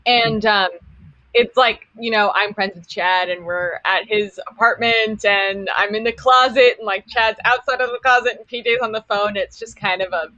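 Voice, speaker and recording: A woman's voice: mid-range voice, steady tone, moderate pace, bright, forceful tone, no room sound, dull audio. A woman who works as a voice actor, chatting casually in loose, informal phrases. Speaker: voice actor on the show. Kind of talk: casual chat.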